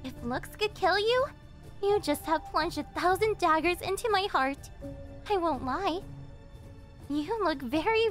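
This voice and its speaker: An extremely high, swooping young woman's voice in an anime yandere roleplay. She is an obsessive captor who sounds sweet, theatrical and teasing, with possessiveness under the cheer. Faint music plays behind her. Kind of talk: yandere ASMR roleplay acting, anime-style.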